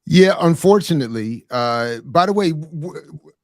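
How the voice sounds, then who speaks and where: A middle-aged man conducting a casual interview, feeling his way through long questions with stammers and searching pauses. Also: fairly high pitch, expressive, dry recording, clean studio sound. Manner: hesitant, searching, stammers over phrasing. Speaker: interviewer, academic.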